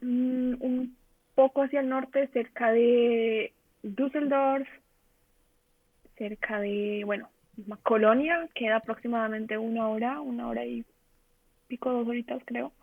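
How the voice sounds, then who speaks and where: A young Colombian woman speaks in a fairly high, even voice over a narrow, phone-like call. She answers casually as an interview guest, pausing to search for words. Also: dry recording. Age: young adult. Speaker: interview guest, design trainee. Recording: narrow telephone-like online call.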